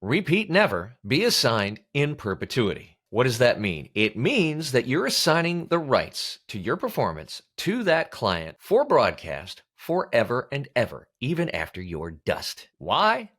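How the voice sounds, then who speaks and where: An American man delivering scripted instructional narration as an experienced presenter, with emphatic, punchy phrasing and pointed pauses. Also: medium pitch, huge pitch swings, fairly bright tone. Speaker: voice actor teaching about auditions.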